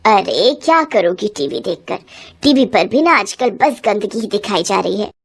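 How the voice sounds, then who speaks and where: A low, grumbling old mother-in-law from a cartoon story, as a woman puts her on. She fusses and scolds over every rupee and fills her talk with complaining cries. Her voice sits well below the narrator's own. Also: lively intonation, normal speed. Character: stingy older mother-in-law, fussy and scolding.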